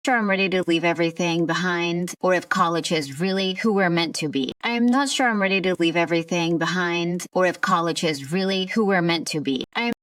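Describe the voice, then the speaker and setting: Woman saying a drama dialogue line, voice a bit on the low side. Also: fairly quick, hardly any pauses, a bit bright, no echo, studio quality.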